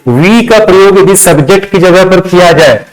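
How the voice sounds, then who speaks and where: A man's voice: sits high, moderate pace, poor audio. A man teaching a grammar lesson step by step, repeating phrases and asking himself check questions. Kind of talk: classroom lesson with step-by-step explanation.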